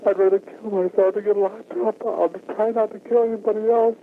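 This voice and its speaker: A man's voice: sits high, steady tone, a little fast, vocal fry, background noise. A distraught, crying man with a weepy, whining voice making a confession on an emergency phone call. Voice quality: weepy, whiny. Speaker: anonymous caller confessing to police. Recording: tinny archival police phone recording, music behind.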